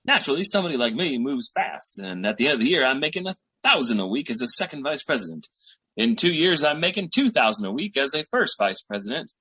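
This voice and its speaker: An actor playing a boastful, slangy small-time schemer boyfriend in 1940s radio sitcom style, with a raspy voice. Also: male voice, mid-range voice, sing-song, fast, pushed and bright, heavily acted. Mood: grandiose scheming. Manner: slangy, bragging, theatrical hustler patter.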